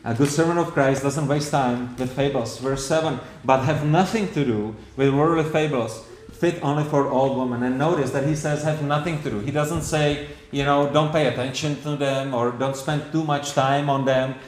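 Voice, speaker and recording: A man's voice: medium pitch, steady tone, moderate pace, few pauses. Czech guy preaching, lots of restarts and questions, echoey hall. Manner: earnest, repetitive, many rhetorical questions and restarts. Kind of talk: sermon.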